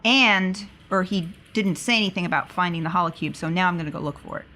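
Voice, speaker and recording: A woman's voice: on the low side, fast talker, sharp tone. A woman talking casually as a player in a tabletop roleplaying game.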